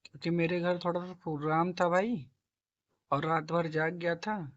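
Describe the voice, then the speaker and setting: A hoarse man with a sore throat teaching a math lesson, coaxing students along and asking and answering his own questions. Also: a little high, steady tone, normal speed, dull audio. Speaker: math tutor. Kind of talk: classroom-style math lesson.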